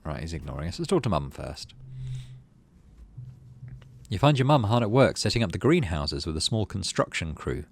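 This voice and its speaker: A man's voice: sits low, sing-song, normal speed, gravelly, long pauses, a little hiss or hum. A man hosting a gaming video, reading story text aloud and adding casual, muttered asides to himself. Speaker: gaming channel host.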